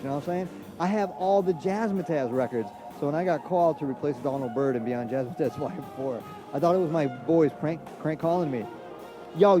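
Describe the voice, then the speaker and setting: A man from Trinidad chatting casually as a musician being interviewed, using relaxed hip hop slang. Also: fairly high pitch. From Trinidad, from San Fernando. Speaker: jazz trumpet player, interview guest. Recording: loud music in the background.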